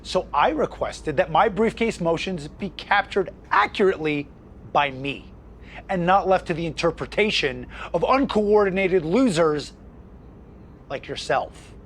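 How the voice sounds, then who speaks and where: A man's voice: sits high, lively intonation, unhurried. A man playing a smug, spiteful young wrestling champion in a video game, condescending and boastful, with noisy ambience behind him. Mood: smug, mocking. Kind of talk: video game cutscene acting.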